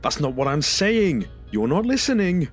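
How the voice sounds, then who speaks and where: A man's voice: fairly high pitch, big pitch swings, normal speed, soft background music. A man acting in an audio drama as a distressed, sarcastic and exasperated survivor, indignant and pleading. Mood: sarcastic, irritated.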